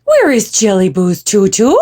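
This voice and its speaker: Mother cat acted by a woman for a storybook: weary, admonishing, emphatic, with lively swoops. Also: medium pitch, a little slow. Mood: exasperated. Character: frazzled, scolding mother cat.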